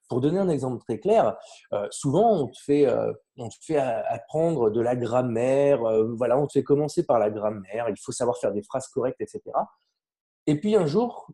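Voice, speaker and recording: A man from France talks through his way of learning languages in a loose, chatty interview. His voice is mellow and warm, sitting at an ordinary middle pitch. He gets animated and often lets sentences trail off into fillers. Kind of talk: casual conversational interview.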